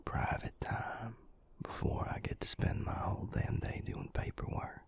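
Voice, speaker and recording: A man playing an affectionate, teasing male vampire lover in an intimate romantic audio roleplay, with a husky, breathy, flirtatious drawl. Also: measured pace, no echo, close to an everyday voice. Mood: flirtatious, tender. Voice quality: gravelly, husky, breathy near-whisper.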